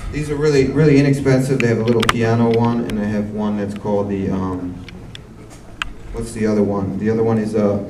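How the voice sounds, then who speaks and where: A man, a music producer, explaining his equipment at a workshop in a relaxed, rambling way with many fillers. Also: on the low side, a little hiss or hum. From United States. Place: workshop room at a cultural venue. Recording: roomy venue, mic from far off.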